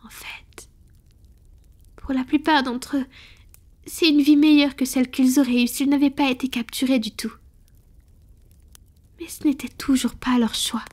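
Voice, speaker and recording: A woman playing a tender, conflicted female vampire in an intimate whispered ASMR roleplay, breathy, slow and hesitant. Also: high voice, steady tone, darkish tone. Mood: troubled, reflective. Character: tender, conflicted wealthy female vampire confiding in her beloved.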